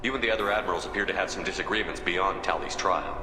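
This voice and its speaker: A man playing a direct, commanding human military captain in a video game, asking brisk questions. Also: low voice, lightly acted. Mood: probing, firm. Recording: long hall reverb, loud music behind.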